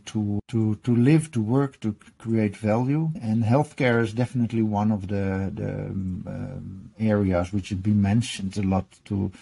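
Gravelly-voiced man. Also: lowish voice, dark tone. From Netherlands. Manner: halting, often repeats words and restarts. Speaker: guest, e-health journalist. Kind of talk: casual interview chat.

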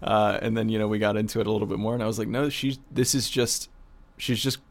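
A man's voice: lowish voice, fairly even intonation, fast, gravelly. A man co-hosting as a producer, asking questions and chatting in a casual, conversational interview style.

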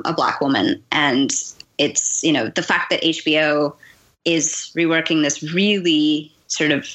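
A woman speaking as a guest in a podcast conversation, in a thoughtful way with frequent pauses. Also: low voice, fairly bright tone, poor audio. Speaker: guest, fiction writer and teacher.

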